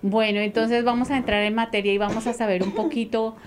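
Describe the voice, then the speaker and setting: A woman's voice: a little high, fairly even intonation, brisk, background noise. A woman hosting a talk show, introducing her guest with enthusiastic, repetitive praise and filler sounds. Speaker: radio show host. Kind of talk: interview introduction.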